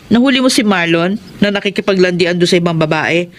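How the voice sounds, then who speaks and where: A woman's voice: fairly low pitch, fast, close to an everyday voice. A woman playing a frank, nagging older sister in a Filipino radio drama, blunt and teasing, with a Filipino accent. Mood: scolding.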